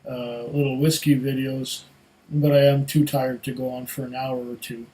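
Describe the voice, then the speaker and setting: A man's voice: medium pitch, little pitch movement, moderate pace, mellow, poor audio. A congested, tired man vlogging at home about whisky, with a rambling way of talking. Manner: rambling, many fillers. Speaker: whisky reviewer. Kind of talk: casual vlog chat.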